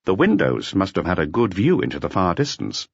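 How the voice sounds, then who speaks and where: A man's voice: deep voice, wildly swooping pitch, brisk, slightly rough, no room sound, highs cut off. A man reading a detective novel aloud as scripted audiobook narration.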